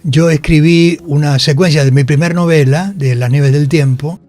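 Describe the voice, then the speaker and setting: A man's voice: a little high, fairly even intonation, moderate pace, darkish tone, faint music behind. An older man with an Argentine Rioplatense accent talks reflectively in a radio interview, often repeating words. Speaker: actor and writer, interview guest. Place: radio studio.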